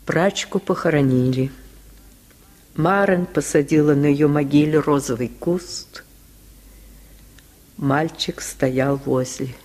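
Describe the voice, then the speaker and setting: Slow, expressive woman storyteller. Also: deep voice. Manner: slow, expressive, theatrical delivery. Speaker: storyteller, actress reading a tale. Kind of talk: dramatic literary narration, 1950s radio storytelling. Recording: 1950s radio archive recording.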